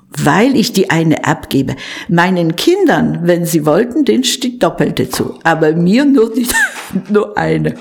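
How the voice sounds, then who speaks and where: Deep husky older woman. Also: darkish tone. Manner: plain, matter-of-fact. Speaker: interviewee.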